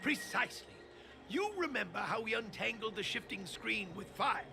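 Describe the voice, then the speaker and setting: An actor playing an elderly, courteous artisan master in a video game, speaking in formal, old-fashioned, theatrical phrases. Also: male voice, high-pitched, lively intonation, normal speed, creaky, over music. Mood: measured, scholarly.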